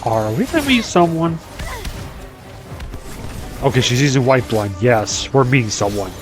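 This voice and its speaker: A man gives offhand gameplay commentary in an ordinary mid-pitched voice. His intonation is lively, and soft music from the game plays behind him. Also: measured pace. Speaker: gameplay streamer.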